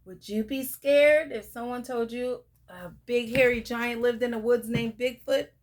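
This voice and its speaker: A young woman reading a picture book aloud to children, sing-song and pausing to ask the listener questions. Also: on the high side. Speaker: storyteller.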